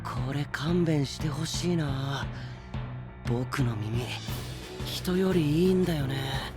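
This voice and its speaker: Cool young anime fighter, casual, teasing, unhurried, music underneath. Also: male voice, on the high side. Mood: wry. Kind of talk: Japanese anime audio drama acting.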